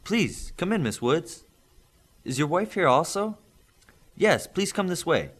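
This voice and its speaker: A man's voice: a little high, very animated, unhurried, a bit bright, voice pushed up, exaggerated voice. A man reading the part of a courteous, protective father with an emphatic, questioning manner in an audiobook.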